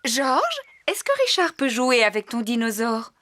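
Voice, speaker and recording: A patient, kindly mother pig from a children's cartoon. Her voice sits high and moves in lively swoops as she explains things to little ones. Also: measured pace. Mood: gentle. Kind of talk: French children's cartoon dub.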